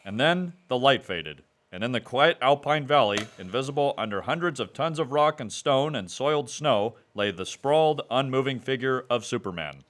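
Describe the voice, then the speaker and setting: A man narrating an old-style radio serial in a dramatic, booming announcer manner. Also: expressive, normal speed, few pauses, a bit bright.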